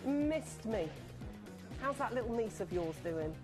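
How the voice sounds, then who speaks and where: A woman presenting live on a TV shopping channel, chatty and excitable, rattling off buyer names and sounding a little sniffly from a cold. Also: a little high, unhurried, over music. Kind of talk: live on-air sales pitch. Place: TV studio.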